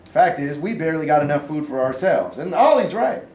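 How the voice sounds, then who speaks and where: A man reading the part of a gruff but fair farmer turned Confederate sergeant, plainspoken and folksy, in an amateur stage play. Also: fairly high pitch, big pitch swings, brisk, darkish tone. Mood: firm, fair. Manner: folksy, plainspoken, hesitant phrasing. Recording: roomy, distant, faint background noise.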